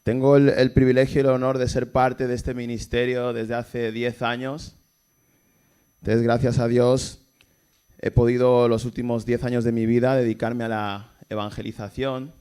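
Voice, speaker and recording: A man's voice: mid-range voice, little pitch movement, moderate pace, warm tone, smooth. A man from Cameroon, preaching a casual sermon at a church service and asking the congregation rhetorical questions. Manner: informal, asks rhetorical questions, chuckles between points. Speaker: evangelist preacher. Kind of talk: conversational sermon.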